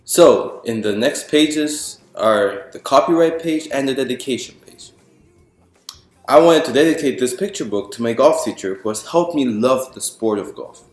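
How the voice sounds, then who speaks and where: A man with a mid-pitched voice presents a picture book aloud in a reverberant room. He explains things step by step and addresses his listeners directly, as at a storytime. Music is heard along with his reading. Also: animated, normal speed. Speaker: author reading own picture book to an audience. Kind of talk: storytime read-aloud to an audience.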